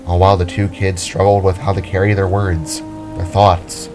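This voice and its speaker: A man reading a scripted story aloud as a narrator, in a solemn, dramatic way with pauses. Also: low-pitched, level tone, music in the background. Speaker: narrator and reader of own audio drama.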